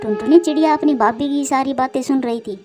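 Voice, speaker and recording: A woman's voice: sits high, fairly quick. A woman reading scripted narration for a cartoon moral story. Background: clear background music.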